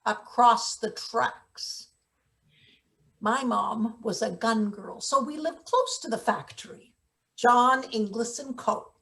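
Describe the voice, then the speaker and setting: A sardonic older forewoman played by a woman in a satirical stage play reading. Her voice sits fairly high, and she talks in dry, wordy sarcasm with a hard, bossy edge. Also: slow, gravelly, fairly bright tone, exaggerated voice. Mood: matter-of-fact. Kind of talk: live table read of a satirical play.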